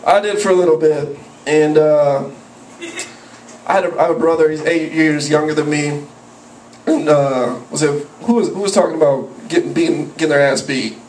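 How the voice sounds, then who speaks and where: A young man doing profane open mic stand-up, rambling with a slightly high voice. It is caught by a far camera in a small cafe, so it sounds roomy and distant, with clear room noise behind it. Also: fairly even intonation, moderate pace, a little mellow. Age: young adult. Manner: profane, rambling, jumps into yelped character voices. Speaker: stand-up comedian. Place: small cafe with a live audience. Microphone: handheld mic, filmed from a far camera. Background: audience laughter.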